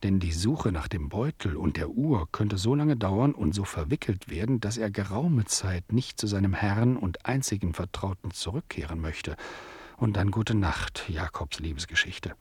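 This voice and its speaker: An actor narrating a radio play as a measured, wry storyteller who addresses the listener. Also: male voice, deep voice, animated, husky, no echo, exaggerated voice. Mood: wry storytelling.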